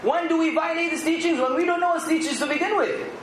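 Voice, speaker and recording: A man's voice: extremely high pitch, quick pace, roomy sound, noisy background. A man giving an earnest Islamic sermon, exhorting his listeners and now and then rising to emphatic outbursts. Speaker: Islamic preacher.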